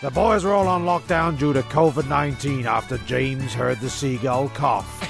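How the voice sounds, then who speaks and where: A man with a strongly gravelly, creaky voice narrates a comedy radio play. He swears freely and trips over repeated words, with music clearly audible behind him. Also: mid-range voice, normal speed. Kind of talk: sweary scripted comedy banter and recap.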